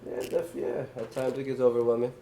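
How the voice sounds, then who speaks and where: A man's voice: medium pitch, level tone, brisk, vocal fry, mellow. A young man, a guest music artist, chatting casually in an interview with loose slangy talk and a lot of "you know what I'm saying".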